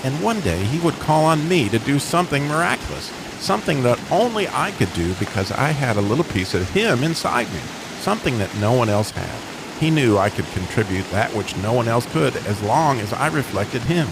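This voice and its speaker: A man's voice: medium pitch, very animated, moderate pace, creaky, background noise. A man voicing a humble, sincere starter motor, telling his story earnestly and reflectively in a radio drama. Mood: earnest gratitude. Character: humble, grateful male starter motor, sincere underdog hero.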